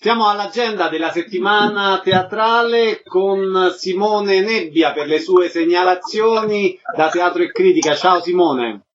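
A man's voice: high-pitched, measured pace, hardly any pauses. A man presenting a radio show as its host. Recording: dull, noisy radio sound.

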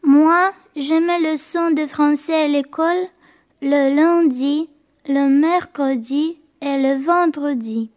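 A woman playing a polite, eager young schoolgirl in a scripted bilingual radio language lesson, speaking carefully and clearly. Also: sits high, slow, a little mellow. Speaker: pupil character in language programme.

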